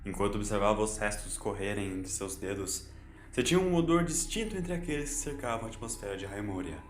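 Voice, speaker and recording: A man's voice: on the low side, very animated, brisk, reverberant, slight background noise. A man with a Brazilian accent reading scripted fiction as a dramatic narrator.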